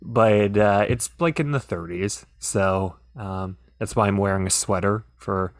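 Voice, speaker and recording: A man's voice: on the low side, measured pace, no echo. A man co-hosting a podcast in casual chat, talking in a hesitant, rambling way with many restarts. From Pennsylvania. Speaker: podcast co-host.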